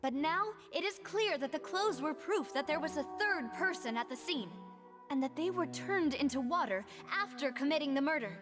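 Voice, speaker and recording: A woman voicing a determined young woman in a video game, laying out charges in formal, earnest, deliberate speech. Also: high-pitched, expressive. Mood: grave, insistent. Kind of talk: dramatic video game voice acting. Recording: music behind the voice.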